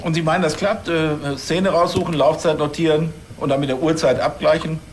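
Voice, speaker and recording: A man's voice: a little high, steady tone, a little fast, slight background noise, natural voice. An actor playing a lazy, distracted policeman in a TV crime comedy, hesitant and offhand. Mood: doubtful.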